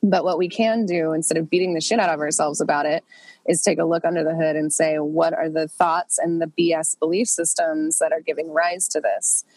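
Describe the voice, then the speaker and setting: A woman's voice: low-pitched, fairly even intonation, fairly quick, darkish tone, breathy edge, no room sound, low-quality recording. A woman coaching an online group in a chatty, loose way, congested from a cold. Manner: chatty, loose, many fillers and restarts. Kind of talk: casual coaching talk.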